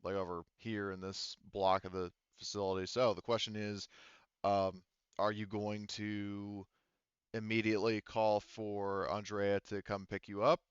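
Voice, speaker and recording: A man acting as game master, narrating a tabletop roleplaying session casually and haltingly, thinking aloud, over slightly lo-fi voice chat audio. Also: lowish voice, level tone, clear, resonant tone, no echo.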